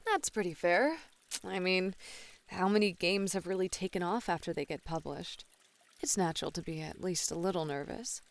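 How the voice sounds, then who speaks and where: A woman playing a flirty, playful bunny woman in an ASMR roleplay, teasing and coy with soft sighs. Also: lowish voice, moderate pace, creaky. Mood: friendly, teasing. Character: flirty, playful bunny woman, a model relaxing in a hot spring. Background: pouring, trickling water.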